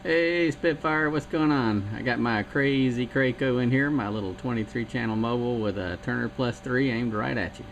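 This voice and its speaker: Relaxed South Carolina CB radio man. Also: medium pitch, normal speed, few pauses, heavy background noise. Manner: relaxed rambling with fillers. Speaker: CB radio operator. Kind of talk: casual radio chat.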